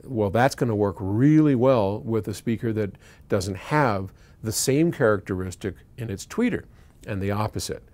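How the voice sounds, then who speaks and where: A man's voice: fairly low pitch, expressive, moderate pace, a little mellow. An American man in his sixties explains things informally to camera, opinionated and conversational, in stop-and-start phrases. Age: 60s. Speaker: audio company founder answering listener questions. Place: indoors in an electronics workshop.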